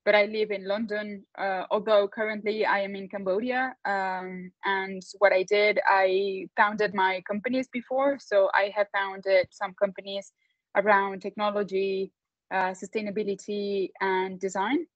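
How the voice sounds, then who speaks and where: Panel guest: young Spanish-native woman from Mexico City, loose and chatty, flat dry video-call sound. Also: medium pitch, measured pace, no room sound, lo-fi sound. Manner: relaxed, frequent fillers and laughs. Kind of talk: casual online panel talk.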